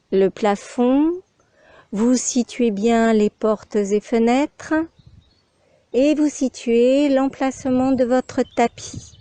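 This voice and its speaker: A woman leads a guided meditation as a yoga instructor, speaking extremely slowly in short instructions. Her voice is mellow and fairly high. The sound is very dry and close, with pauses between the phrases. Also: no echo.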